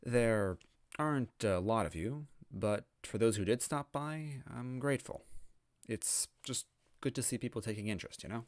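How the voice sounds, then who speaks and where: A man's voice: mid-range voice, moderate pace, dry recording, studio quality. A man talking casually and reflectively to his viewers as the host of a story-reading channel, with music behind him. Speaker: fan fiction reader and channel host. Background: background music.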